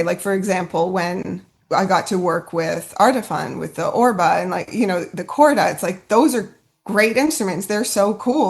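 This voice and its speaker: A woman musician answering a panel question in an earnest, casual way, often saying "you know" and "like". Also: mid-range voice.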